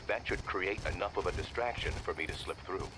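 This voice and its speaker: An actor playing a calm, secretive undercover contact who gives businesslike mission instructions in a video game. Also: male voice, low voice, a little fast, lightly acted, low-quality recording. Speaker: mission guide. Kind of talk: video game cutscene acting.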